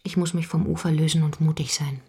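An actress playing an intense, unhappy, spiritually searching wife in a radio drama, speaking in an earnest way. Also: female voice, sits low, fairly even intonation, a little fast, warm tone, breathy edge, full character voice. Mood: dreamy, earnest.

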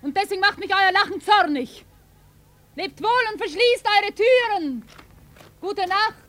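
Angry neighbour woman, radio drama, piercing bright voice, wildly swooping pitch, urgent warnings. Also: expressive, a little slow, exaggerated voice. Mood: angry, bitter. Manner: shrill, urgent, reproachful. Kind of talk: German radio play acting.

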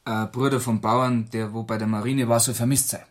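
An actor playing a persistent police inspector in a radio crime drama, with a Bavarian accent and insistent, probing delivery. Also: male voice, medium pitch, flat delivery, brisk, natural voice. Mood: sober, doubtful.

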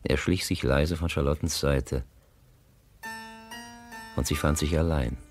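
A man narrating a literary radio play, grave, composed and deliberate, on a close studio mic. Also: a bass voice, fairly even intonation, faint music behind. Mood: reflective.

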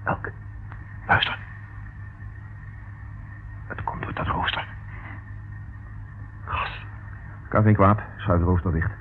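An actor playing a shrewd, decisive detective who calmly takes command in a radio drama. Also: male voice, low-pitched, slow pace, pauses often. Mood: tense, hushed. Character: shrewd, cool-headed male detective taking command in danger. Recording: old lo-fi radio recording, faint noise.

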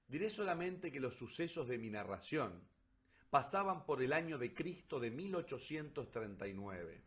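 A man narrates a literary story from a script. His voice is of medium pitch and comes through quietly, as a recording played back online.

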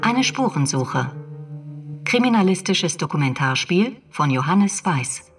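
Slow woman announcer, lively pitch swings. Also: slightly rough, distant mic. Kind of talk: scripted radio title announcement. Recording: set back, faint guitar music.

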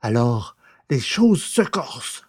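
A man's voice: medium pitch, big pitch swings, slow, darkish tone, husky. A man narrating a scripted recap for a French audio drama serial.

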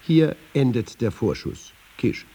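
An actor playing a dry raconteur who acts out a pompous newspaper boss in a radio feature. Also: male voice, mid-range voice, sing-song, slow, warm tone, slightly rough, heavily acted. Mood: dryly comic. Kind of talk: dramatised anecdote reading in a radio feature.

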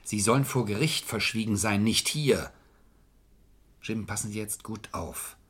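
An actor playing a shrewd, calculating but courteous man in a German crime radio play, speaking in a measured, persuasive way. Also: lowish voice, fairly bright tone, husky. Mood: insistent. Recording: vintage radio play recording.

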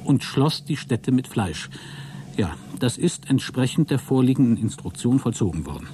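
An actor playing a deluded, exhausted astronaut who keeps a logbook in a radio play, halting, self-justifying and grandiose. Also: male voice, mid-range voice, very animated, background noise, lightly acted. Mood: weary, uneasy. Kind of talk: dramatic radio play monologue.

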